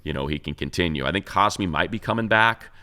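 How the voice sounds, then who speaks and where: A man with a deep, low voice talks sports as a show co-host. His delivery is casual and conversational, working through analysis and repeating words to stress a point. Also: lively intonation, fast, bright, forceful tone.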